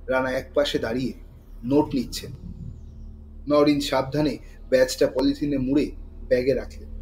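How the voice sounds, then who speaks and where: A man delivers scripted, dramatic narration for a thriller audio story. His pitch is medium. Faint atmospheric noise sits behind the voice. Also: fairly even intonation, moderate pace, darkish tone, rough recording.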